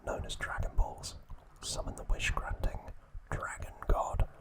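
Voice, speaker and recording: A man hosting ASMR, reading trivia in a breathy, hushed, soothing whisper. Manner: slow, hushed, soothing delivery. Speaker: ASMR video host.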